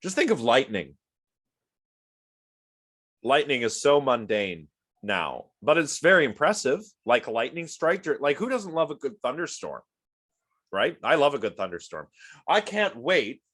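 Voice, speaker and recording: A man's voice: medium pitch, sing-song, a little slow, long pauses, fairly bright tone. A man giving a casual online lecture on a video call and reacting to the chat. He is sniffly from allergies and rambles with lots of fillers and restarts.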